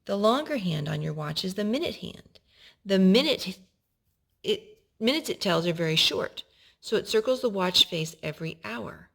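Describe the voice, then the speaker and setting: A woman's voice: medium pitch, creaky, a bit bright. A woman hosting a storytime, reading a children's picture book aloud and explaining it to a young child. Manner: instructive, addressing a young child.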